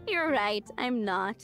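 A woman's voice: on the high side, fairly bright tone, heavily acted. A woman voicing a playful, breezy tavern boss in a video game, teasing and self-assured. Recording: music in the background.

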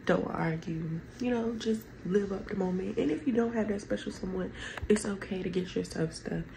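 A woman's voice: mid-range voice, moderate pace, creaky, noisy background. A young woman with a stuffy nose, chatting casually to viewers as she gets ready in her bedroom. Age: young adult. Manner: chatty, rambling, addresses viewers as y'all. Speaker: vlogger. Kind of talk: casual get-ready-with-me chat. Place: bedroom at home, indoors.

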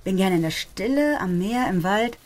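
An actress playing a calm, self-assured, sensual woman in a short radio drama. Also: medium pitch, moderate pace, natural voice. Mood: calm, candid.

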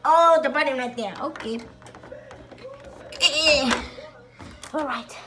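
A woman's voice: high-pitched, takes it slowly, over loud music. A woman voicing a goofy, eager male plush toy who rambles cheerfully while cooking in a home kitchen, on a handheld phone. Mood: cheerful rambling.

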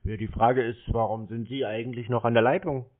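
A man's voice: mid-range voice, steady tone, fairly quick. Unruffled man on a tinny phone line. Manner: dry, provocative, unruffled. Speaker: prank call creator baiting call-centre scammers. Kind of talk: casual phone conversation. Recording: narrow phone-band radio-like sound. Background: phone call.

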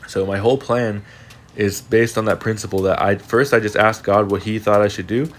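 A man's voice: on the low side, steady tone, moderate pace. A congested young man talking in an earnest, reflective, rambling way in a casual voice-over monologue. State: congested, sniffling. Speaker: fitness vlogger.

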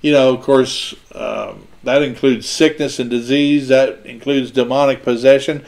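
A man's voice: mid-range voice, steady tone, unhurried, gravelly, darkish tone. Conversational man in his sixties. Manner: conversational, with hand gestures. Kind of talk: panel discussion. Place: small indoor studio set.

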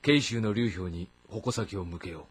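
A man playing a calculating, confident warlord of ancient China in a game drama, with a husky voice. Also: low voice, unhurried, no echo. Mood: scheming. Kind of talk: dramatic audio-novel acting in a video game.

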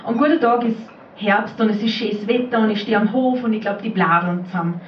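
A woman's voice: medium pitch, brisk. Austrian woman reciting dialect poetry, roomy sound. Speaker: poetry slam performer. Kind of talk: dialect spoken-word recitation. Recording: roomy, distant, muffled live recording, faint noise.

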